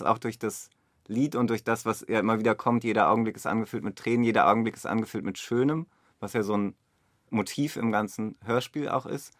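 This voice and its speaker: Male director in conversation, slightly low voice, dry recording, thoughtful and explanatory. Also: no echo. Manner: reflective, explanatory. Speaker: radio play director in discussion. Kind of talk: unscripted interpretive conversation.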